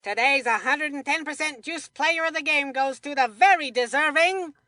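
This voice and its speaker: A woman's voice: high voice, normal speed, bright, forceful tone, dry recording, studio quality. A woman voicing an eager, chatty boy who works as a sports commentator in a cartoon video game, with a folksy, earnest announcer delivery. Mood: announcer pep.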